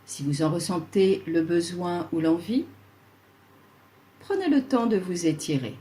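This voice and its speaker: A woman leading a guided yoga relaxation, speaking soothingly and leaving long pauses between short instructions. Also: on the low side, lively intonation, dark tone.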